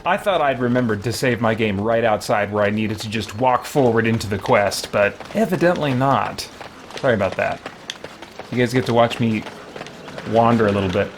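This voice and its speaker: A man's voice: lowish voice, normal speed. A man live-streaming a video game, chatting informally with viewers and thinking aloud as he plays. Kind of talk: casual live-stream chat and gameplay commentary. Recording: clear background noise from game ambience.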